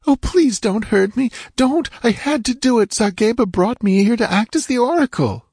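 A man putting on a high, tearful, terrified dancing girl. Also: moderate pace, a little mellow, breathy edge, no room sound, clean studio sound. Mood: pleading. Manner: pleading, tearful, breathless.

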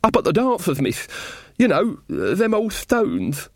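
A simple village man, acted by a male storybook reader, nervous and deferential. His voice is pushed up high and swings about in pitch. He talks in rustic grammar, evasive and slow on the uptake, in a dry recording. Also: huge pitch swings, vocal fry, no echo, heavily acted. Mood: puzzled. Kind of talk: audiobook character voice.

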